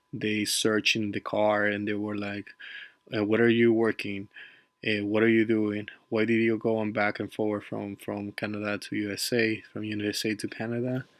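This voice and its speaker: Laid-back Ecuadorian-accented man, low and flat. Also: no room sound, studio quality. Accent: Ecuadorian Spanish. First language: Spanish. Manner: chatty, loose, many fillers, drops Spanish words. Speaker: travel vlogger. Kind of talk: casual travel vlog narration.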